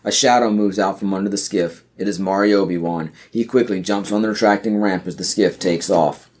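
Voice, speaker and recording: A man's voice: low voice, fairly even intonation, fairly quick, darkish tone, slight background noise. A man narrating an audio play, reading out screenplay scene headings and stage directions.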